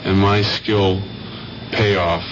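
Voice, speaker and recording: A man's voice: low-pitched, little pitch movement, slow. A man giving a spoken testimonial for a radio ad. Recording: dull, noisy old radio recording.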